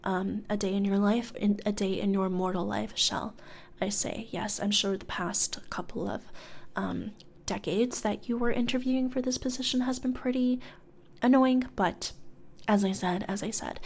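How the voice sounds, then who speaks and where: A woman playing a blithe corporate recruiter for hell in a soft-spoken ASMR roleplay, hesitant and conversational, often slipping into whispers, close on the mic. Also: medium pitch, fairly even intonation, normal speed, natural voice. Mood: breezy, dismissive. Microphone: close studio condenser microphone.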